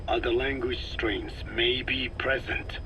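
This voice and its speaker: An actor playing a grave, learned old parasite expert in a video game, with a breathy rasp and formal, measured phrasing. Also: male voice, medium pitch, steady tone, sharp tone, dull audio, a little hiss or hum. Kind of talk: dramatic video game dialogue.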